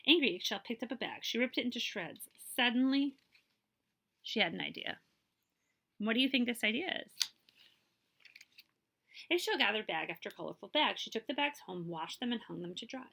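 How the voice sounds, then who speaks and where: A woman librarian reading a picture book aloud to children, with expressive storytelling and explanatory asides and questions for her listeners. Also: a little high, gravelly. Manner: expressive storytelling, pausing to check understanding with listeners.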